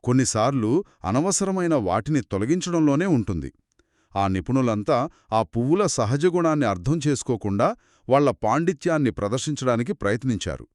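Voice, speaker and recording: A man's voice: medium pitch, very animated, moderate pace, darkish tone, dry recording, studio quality. A man co-hosting a conversational story discussion in an analytical, explanatory way. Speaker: podcast co-host.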